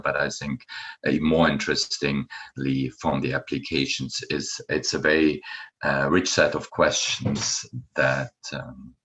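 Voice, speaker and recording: A middle-aged man from Germany with a German accent, giving a university lecture in an unhurried way with many ums and uhs. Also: a bass voice, pushed and bright. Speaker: guest lecturer.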